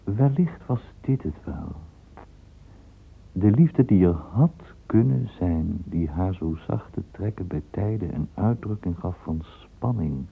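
A man narrating a radio drama as a florid storyteller, in a measured, gravelly voice. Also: fairly low pitch, heavily acted. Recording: narrow, phone-like radio play recording.

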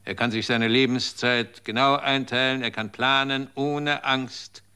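A bright, incredulous questioner. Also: male voice, mid-range voice, fairly even intonation, unhurried, smooth. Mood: scornful. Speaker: character in a radio drama. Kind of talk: radio drama acting.

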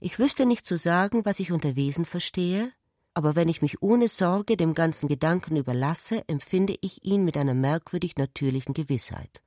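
A woman's voice: on the low side, normal speed, a little mellow, no echo, studio quality. A woman narrating literary prose for a radio drama.

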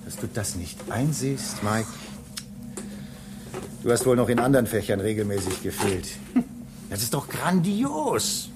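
An actor playing a talkative, excitable space station technician in a German radio drama, lecturing his colleague in an insistent, teasing way. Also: medium pitch, unhurried, long pauses. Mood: insistent, enthused. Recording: clear background noise behind dialogue.

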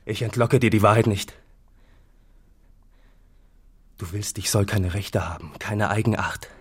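A bitter, distrustful farmer in a radio play. His low voice is husky, and he declaims formal accusations against his household. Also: a little fast. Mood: bitter indignation. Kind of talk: German literary radio drama acting.

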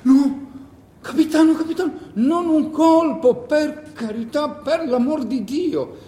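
An actor playing a frightened village miller who stammers and pleads anxiously in a theatrical stage style. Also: male voice, extremely high pitch, takes it slowly, dark tone, pitched above the performer's own voice. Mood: anxious pleading. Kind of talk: theatrical stage monologue acting. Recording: echoey hall with long reverb.

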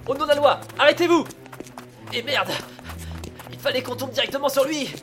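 Un homme jouant un inspecteur de police fougueux et inventif dans une fiction audio, qui crie dans l'action et chuchote en se cachant. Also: male voice, very high-pitched, normal speed. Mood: brash. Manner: shouts in action, hushed when sneaking. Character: brash inventive male police investigator. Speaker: police investigator. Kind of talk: French audio drama. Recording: long reverb, music behind.

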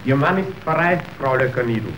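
An actor playing a slick, manipulative factory official in a radio drama, speaking in a measured, officious, persuasive way. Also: male voice, medium pitch, lively intonation, a bit bright, close to an everyday voice. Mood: coolly persuasive. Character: slick, calculating male factory boss's agent, smoothly manipulative. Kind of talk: stage-like German radio drama acting. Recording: dull, noisy old radio recording.

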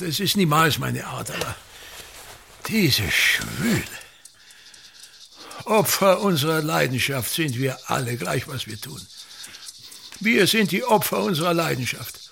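A man's voice: on the high side, gravelly, background noise, exaggerated voice. An actor in a radio play as a pompous, self-pitying older theatre director and father, grandiloquent and complaining, repeating himself. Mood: irritable, suffering, then grandly fatalistic.

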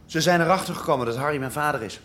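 An actor playing a wounded, touchy young boyfriend in a naturalistic radio drama. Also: male voice, a little high, rapid-fire, a bit bright, natural voice. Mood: dejected.

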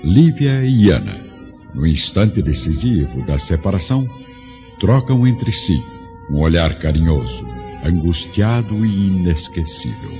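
A man narrating a radio drama in a grave, measured storytelling manner. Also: sits low, big pitch swings. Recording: muffled audio with music score.

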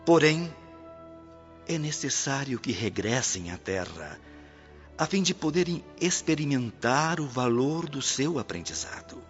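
A man's voice: mid-range voice, animated, a little slow, close to an everyday voice. A man with a Brazilian accent playing a benevolent spirit mentor in a radio drama, addressing souls in solemn, formal exhortation. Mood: grave exhortation. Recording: dull radio drama, faint music behind.

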